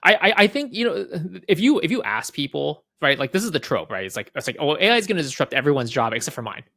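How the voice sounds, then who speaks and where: A man speaking as an interview guest, explaining casually in halting phrases with repeated words and frequent checking tags. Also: a little high, very animated, bright, forceful tone, no room sound. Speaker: interview guest, head of product at a software company.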